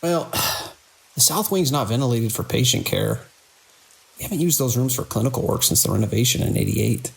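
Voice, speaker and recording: A man acting as a weary hospital director in a horror audio drama. His voice is husky with a gravelly fry, and he dictates in measured, formal phrases with a wry edge. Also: medium pitch, lively intonation, low-quality recording. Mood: businesslike, strained. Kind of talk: scripted audio drama narration.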